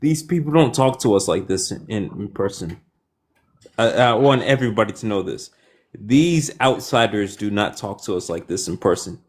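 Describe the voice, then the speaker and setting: Boastful, brusque man with a low voice. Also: animated, moderate pace, husky. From United States. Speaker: panel speaker. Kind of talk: heated live debate panel.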